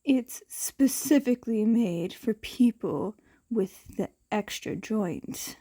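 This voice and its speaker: A villain's clinical assistant in a storybook tale, read by a woman. The report comes out detached and matter-of-fact, slow and mellow. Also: on the high side, lively intonation, dry recording.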